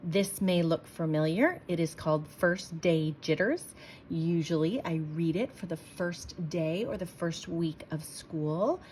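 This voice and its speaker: A woman doing an expressive read-aloud for children, speaking to them directly like someone from their school. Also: fairly low pitch, unhurried, a little hiss or hum. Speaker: school staff member reading to pupils.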